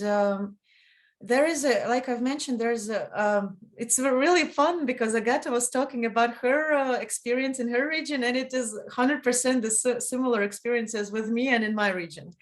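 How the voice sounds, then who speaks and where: A young woman from northeast Estonia speaking on an online panel, hesitant, with many fillers and restarts. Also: on the high side. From Ida-Viru County, Estonia. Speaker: youth panelist.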